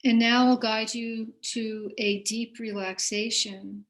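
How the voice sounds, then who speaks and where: A woman leading a guided meditation as a teacher, giving gentle, unhurried instructions with pauses between phrases. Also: fairly high pitch, little pitch movement, rough recording.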